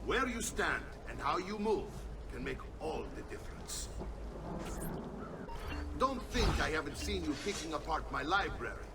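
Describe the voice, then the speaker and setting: An actor playing a gruff, stern, proud older Italian uncle and mentor in a video game, with an Italian accent and an emphatic, theatrical way of talking. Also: male voice, a little high, sing-song, measured pace, distant mic, very noisy. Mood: instructive, proud. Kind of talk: dramatic video game acting. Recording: music behind the voice.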